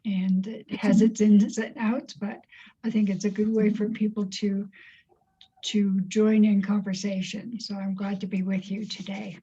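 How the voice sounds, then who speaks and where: Female interview host, conversational delivery, soft mellow timbre with some huskiness, slightly lo-fi sound. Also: medium pitch, level tone, a little slow, slightly rough.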